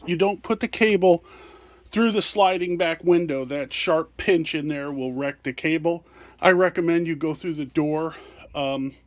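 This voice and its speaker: A man with a fairly high voice walks through products as a presenter. His delivery is informal and step-by-step, with some hesitation. The sound is dry, with very little room in it. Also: dry recording. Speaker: product presenter and small business owner.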